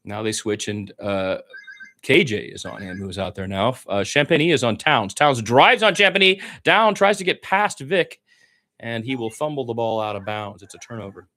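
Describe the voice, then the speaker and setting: A man's voice: mid-range voice, animated, normal speed, sharp tone. A man giving informal radio-style basketball play-by-play, with blunt, conversational and opinionated asides. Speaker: play-by-play sports commentator.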